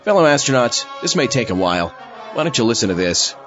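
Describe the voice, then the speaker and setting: A man playing a deadpan, easily exasperated zombie astronaut who hosts a comic audio drama. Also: medium pitch, fast talker, lo-fi sound, over music, close to an everyday voice. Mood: wry narration. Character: mildly irritated, deadpan undead zombie astronaut host. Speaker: podcast host.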